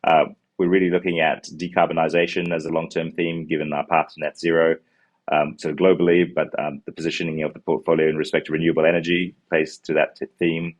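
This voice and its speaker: Very deep-voiced man with New Zealand accent. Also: level tone, fast talker. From born in Sri Lanka, raised in New Zealand. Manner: many ums and uhs, restarts words while explaining. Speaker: fund co-manager. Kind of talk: investment pitch answering questions. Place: office with glass walls, indoors. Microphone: earbuds on a video call.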